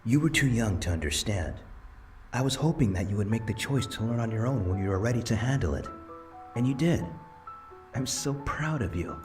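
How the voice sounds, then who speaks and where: A man playing the kindly, proud spirit of a grandfather in a visual novel, gentle and earnest. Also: lowish voice, big pitch swings, brisk, soft background music. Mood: proud, tender. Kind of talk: visual novel character dialogue.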